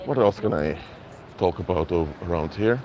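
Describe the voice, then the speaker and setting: A man's voice: sits low, animated, normal speed, muffled recording, a crowd in the background. A man whose first languages are Papiamento and Dutch, preaching earnestly to live-stream viewers on a mobile phone outdoors in a city. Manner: earnest, repetitive, addresses viewers directly. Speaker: minister. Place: outdoors in an urban area.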